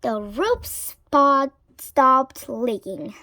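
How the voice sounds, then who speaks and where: A woman reading a children's story aloud in a dramatic way, sometimes hushed. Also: high-pitched, big pitch swings, drawn-out words, no room sound, studio quality. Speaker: storybook reader.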